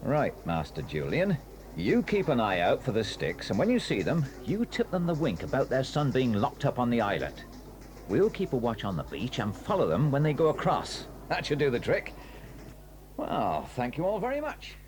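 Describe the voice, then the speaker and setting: A hearty, kindly police inspector with a British accent. He talks in a genial, fussy way, and his pitch moves a lot. Faint hiss sits under him. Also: wildly swooping pitch, moderate pace, a little mellow. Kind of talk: 1980s audio drama acting.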